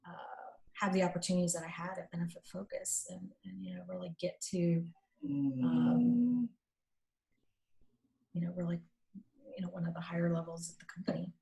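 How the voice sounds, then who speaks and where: A middle-aged woman speaks in a somewhat low voice. She talks casually as an interview guest, full of fillers and restarts. She livens up when she retells a conversation. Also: moderate pace, creaky, long pauses, rough recording. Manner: animated, acts out quoted dialogue, many fillers; self-described strong voice, not shy. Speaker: interview guest, company executive.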